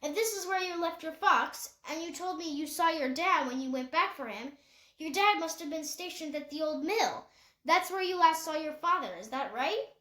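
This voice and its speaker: A caring, insistent young girl with a bright, high voice, put on by a woman reading a novel aloud. She coaxes and comforts, steady and sincere, heard in an echoey room. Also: moderate pace. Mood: probing. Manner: gently pressing, reassuring.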